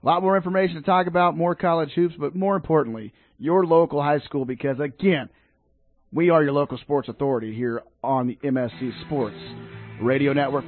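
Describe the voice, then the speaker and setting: A man hosting a local sports radio show, chatting casually about football and high school games and rallying the fans. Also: no echo. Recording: dry, phone-like narrow sound.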